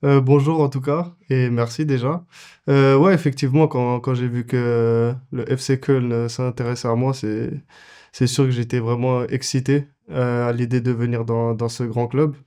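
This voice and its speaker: A man's voice: medium pitch, steady tone, normal speed, mellow, clear, resonant tone. A man in his twenties, a goalkeeper answering interview questions casually, with many fillers and restarts. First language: French. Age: 20s.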